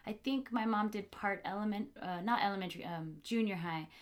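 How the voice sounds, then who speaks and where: A woman's voice: medium pitch, fairly quick. A woman hosting a casual podcast conversation. She talks in a chatty way, with plenty of fillers and laughs. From Los Angeles, US. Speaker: podcast host.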